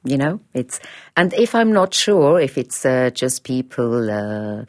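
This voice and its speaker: Swiss woman interviewee, deep voice, slow and hesitant delivery, radio interview. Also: very animated, a little mellow. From Switzerland. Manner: hesitant, many fillers, thoughtful. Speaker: interviewee, head of a language department.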